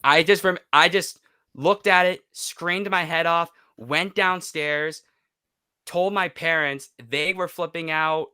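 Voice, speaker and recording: A man's voice: fairly high pitch, fairly even intonation, slow, sharp tone, clean tone, no room sound, studio quality. A man in his twenties talking candidly as an interview guest, emotional and emphatic, repeating phrases for stress. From United States. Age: 20s. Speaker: podcast guest, YouTuber and voice actor. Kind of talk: candid personal interview chat.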